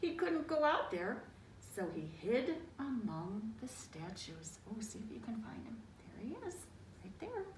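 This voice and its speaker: A woman's voice: a little high, sing-song, unhurried. A woman reading a children's picture book aloud, with dramatic emphasis and little asides to young listeners. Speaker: storybook reader. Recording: roomy, distant mic, faint noise.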